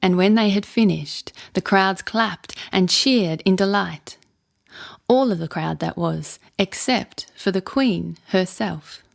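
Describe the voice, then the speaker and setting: A woman narrating a children's bedtime story in an expressive, measured storytelling manner. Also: medium pitch, creaky.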